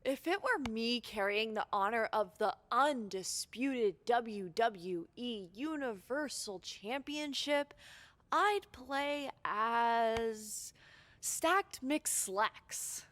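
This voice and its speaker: A woman's voice: on the high side, lively intonation, very slow, a bit bright, exaggerated voice. A woman playing a sardonic, unimpressed wrestler co-host in a video game, with dry, teasing delivery. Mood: needling. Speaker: wrestler co-hosting a show. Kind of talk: scripted video game cutscene acting.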